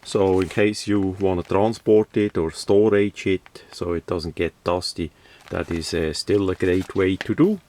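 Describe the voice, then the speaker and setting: A man who is not a native English speaker gives a casual unboxing review in halting, hesitant phrases. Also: low voice, steady tone, mellow. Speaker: product reviewer.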